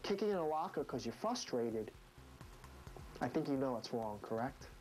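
A man's voice: mid-range voice, sing-song, normal speed, darkish tone, soft background music. Stern American man, a school principal. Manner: stern, authoritative. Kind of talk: reality show narration and firm talk with a student. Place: school offices and locker room. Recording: noisy reality TV location sound.

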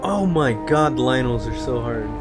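A man's voice: mid-range voice, expressive, normal speed. Teasing young man chatting over game music. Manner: teasing, joking, profane. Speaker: gameplay commentator watching partner play. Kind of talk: casual banter, game commentary.

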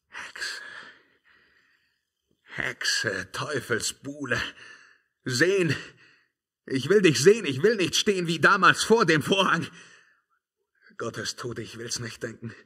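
An actor playing a tormented young emperor, desperate and imperious, declaiming verse in a theatrical 1950s radio drama style. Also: male voice, medium pitch, pushed and bright, slightly rough, heavily acted. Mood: anguished, fearful.

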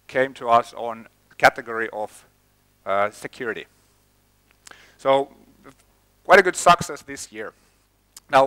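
A man's voice: mid-range voice, sharp tone, no echo, poor audio. A middle-aged man with a Finnish accent giving a conference talk on stage, speaking plainly and often restarting his words. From Finland. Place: conference hall stage with projector screen. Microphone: headset mic.

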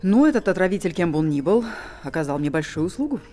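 An actress in her forties playing a poised, bitter abandoned wife in a film drama, with a measured, theatrical delivery. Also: lowish voice, very animated, warm tone, soft background music. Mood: composed, wry. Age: 40s.

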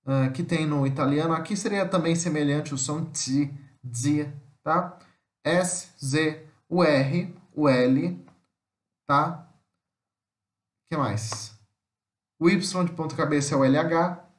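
A man with a Brazilian Portuguese accent teaches in a fairly high voice. He reads material aloud, then explains it informally and haltingly, repeating himself and adding little check-in questions. The room echoes noticeably around him. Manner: halting, repetitive, frequent tag questions to check understanding. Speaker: language teacher. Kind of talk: informal lesson, reading slides aloud and explaining. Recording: roomy, echoing voice-over.

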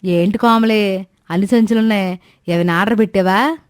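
A woman's voice: medium pitch, moderate pace, clean tone, no echo, studio quality, natural voice. A woman voicing a sarcastic, wary older village mother-in-law in a cartoon story, scolding in rustic colloquial speech. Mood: puzzled. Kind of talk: animated Telugu moral story dialogue.

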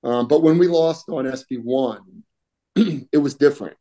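Middle-aged man, mellow voice, throat-clearing. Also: mid-range voice, unhurried. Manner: conversational, many fillers, clears throat often. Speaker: union political director, guest. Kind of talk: casual video-call interview. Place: home room, indoors.

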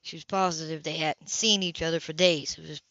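A woman's voice: low voice, steady tone, a little fast, a bit bright, no echo. A woman reading a novel aloud as an amateur, sometimes stumbling and rereading phrases. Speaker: amateur audiobook reader. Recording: dull, lo-fi audio.

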